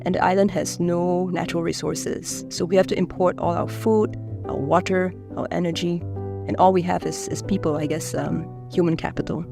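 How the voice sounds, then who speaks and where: A woman, explaining her research in a measured way with frequent fillers and restarts. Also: on the low side, steady tone, vocal fry, music in the background. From Singapore. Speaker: engineering researcher. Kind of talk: explanatory interview talk.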